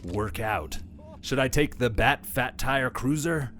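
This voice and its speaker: A man's voice: medium pitch, animated, unhurried, fairly bright tone, a little hiss or hum. A man voicing a dithering male caped crusader in a comedy podcast sketch, deliberating aloud. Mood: musing.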